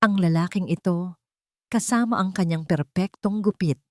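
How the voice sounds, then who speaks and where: A Filipino woman narrates a scripted love story in a fairly low register. Her delivery is measured and dramatic, in the manner of a storyteller. The sound is dry, with very little room in it. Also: dry recording.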